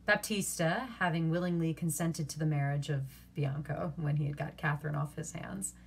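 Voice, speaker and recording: A woman's voice: low voice, steady tone, normal speed, vocal fry, a little hiss or hum, poor audio. A young woman reading a story aloud expressively, as an actor does at storytime. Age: young adult. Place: small bedroom, indoors.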